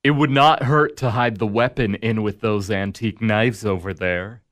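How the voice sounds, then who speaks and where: An actor playing the Devil in a radio drama, a smug, needling tempter who talks in a measured, sardonic way. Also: male voice, fairly low pitch, steady tone, a bit bright, lightly acted. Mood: quietly sly.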